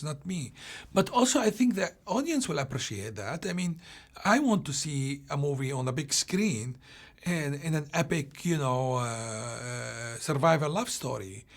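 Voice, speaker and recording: A man from Palestine, a film director, answering interview questions in a halting way, often restarting as he searches for words. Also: medium pitch.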